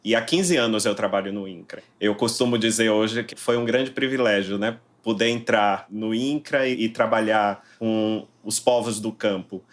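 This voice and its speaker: A man's voice: fairly low pitch, moderate pace, few pauses. A man in his forties from Salvador with a Bahian accent, answering interview questions in a reflective way, often ending thoughts with tag questions. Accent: Bahian Portuguese. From Brazil, Salvador. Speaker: interviewed novelist.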